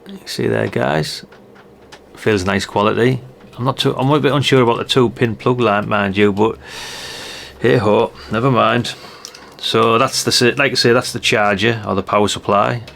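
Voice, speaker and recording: A man's voice: mid-range voice, steady tone, normal speed, gravelly, a bit bright, slight background noise. An older man chatting in a rambling way, thinking aloud through a casual hands-on product review. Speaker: radio hobbyist reviewer.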